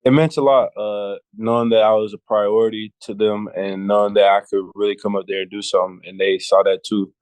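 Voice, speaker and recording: Polite, laid-back teenage football recruit. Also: male voice, fairly low pitch, little pitch movement, fairly quick, hardly any pauses, a little mellow, clear, resonant tone, no echo, low-quality recording. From Georgia. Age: teens. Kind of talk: interview answers.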